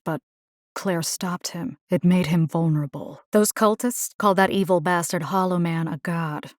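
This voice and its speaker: A resolute young vampire fighter from a video game, acted by a woman, with a lowish voice in a dry, close recording. She is earnest and caring, speaking plainly and conversationally with determination and a wry edge. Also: a little mellow, no echo, lightly acted.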